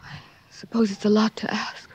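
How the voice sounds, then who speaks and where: A woman's voice: mid-range voice, flat delivery, moderate pace, slightly rough. An actress playing a troubled, wistful married woman of mystery in a 1940s radio drama, speaking with an earnest, restrained delivery. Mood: wistful, regretful. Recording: dull 1940s radio recording.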